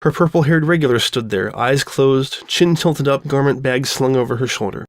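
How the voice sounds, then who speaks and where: A man's voice: mid-range voice, moderate pace, dry recording. A man reading fan fiction as scripted story narration.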